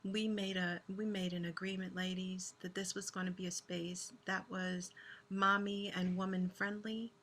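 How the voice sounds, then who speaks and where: Woman poet and host on a video call, soothing and bright, gentle and sincere. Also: fairly low pitch, flat delivery, moderate pace, slight background noise. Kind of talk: hosting an online poetry event and reciting spoken word.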